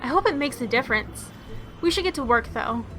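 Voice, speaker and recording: A woman playing a capable, confident young masked crime-fighter and narrating a radio drama in a brisk, matter-of-fact way. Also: high-pitched, lively intonation, pushed and bright, soft background music. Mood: focused.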